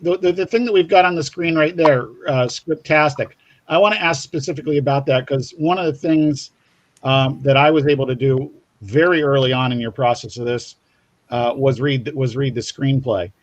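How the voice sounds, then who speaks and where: A man's voice: medium pitch, moderate pace. A man co-hosting a casual interview over an online call with muffled audio, framing his questions hesitantly. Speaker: co-host, interviewer. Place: remote online video call.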